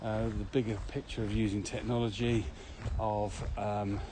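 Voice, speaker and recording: A man's voice: fairly low pitch, level tone, normal speed. A man co-hosting a podcast, explaining things in casual conversation while walking outdoors. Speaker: podcast co-host and virtual training consultant. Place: outdoors on a walk. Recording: very noisy outdoor recording, clicks and traffic.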